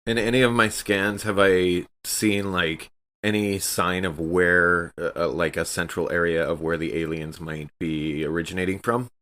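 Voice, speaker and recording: A man's voice: low-pitched, fairly even intonation, normal speed, sharp tone. A man chatting casually as a tabletop game player, hesitantly thinking aloud.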